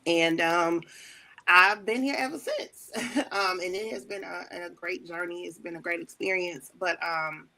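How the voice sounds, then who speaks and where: A woman from Chicago, a guest on a talk show, telling her story in a relaxed way with lots of "um"s. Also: on the low side, measured pace, vocal fry, rough recording. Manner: warm storytelling with many fillers. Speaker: wedding planner and ordained elder, guest. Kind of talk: casual interview talk.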